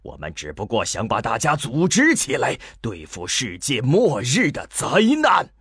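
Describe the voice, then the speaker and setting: A man's voice: medium pitch, huge pitch swings, normal speed, fairly bright tone, husky, dry recording, studio quality, full character voice. A man playing a proud, angry old astronomer and university president in a theatrical, emphatic radio drama style. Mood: furious, indignant. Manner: theatrical, indignant, emphatic delivery. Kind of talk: Chinese radio drama acting. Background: music.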